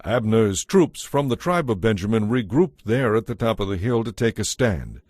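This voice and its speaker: A man's voice: fairly low pitch, big pitch swings, normal speed, darkish tone, no room sound, clean studio sound. A man narrating a dramatized audio Bible as a scripted storyteller.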